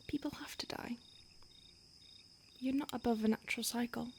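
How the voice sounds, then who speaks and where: A conflicted female vampire, voiced by a woman breathes her confession in a close, soft whisper, her tone sitting a little high. She sounds obsessive and half-apologetic, a predator barely keeping composed. Faint crickets chirp in the night air behind her. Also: fairly even intonation, moderate pace, slight background noise, close to an everyday voice. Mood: grim, confiding. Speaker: audio roleplay performer. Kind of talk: whispered audio roleplay.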